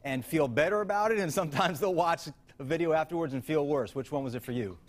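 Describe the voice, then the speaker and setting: A man in his thirties hosting a TV sports show, putting composed, measured questions to a coach in a studio. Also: a little high. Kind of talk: studio interview.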